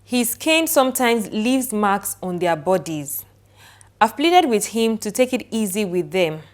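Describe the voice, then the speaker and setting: Woman cohost, calm soft voice, lots of yeahs, reads out messages. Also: medium pitch, a little slow. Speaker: podcast co-host. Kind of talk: casual podcast chat.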